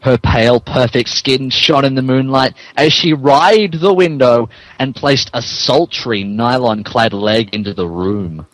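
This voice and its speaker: A man's voice: mid-range voice, measured pace, few pauses, a bit bright, dry recording, poor audio. A man narrating a comic dramatic reading of fan fiction, mock-serious and amused. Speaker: narrator, podcast host.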